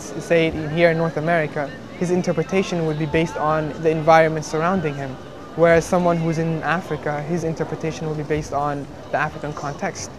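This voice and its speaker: Earnest young man, steady flat voice. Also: fairly high pitch, noisy background. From Somalia. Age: young adult. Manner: earnest, halting, many fillers and restarts. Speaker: engineering student. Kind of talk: interview testimony.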